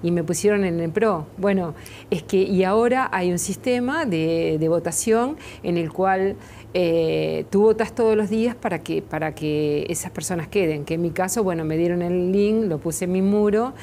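A middle-aged woman with a Uruguayan accent, a makeup artist answering questions in a TV studio interview, often pausing with fillers and restarts. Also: on the low side, warm tone, a little hiss or hum. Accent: Uruguayan Spanish. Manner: hesitant, many fillers and restarts. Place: TV studio set, seated in armchair.

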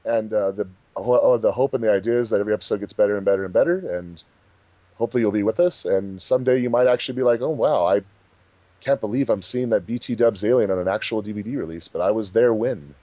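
A man's voice: fairly low pitch, fast, mellow, no room sound, highs cut off. A man hosting a casual group commentary, chatting informally. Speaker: host of a fan dub commentary track.

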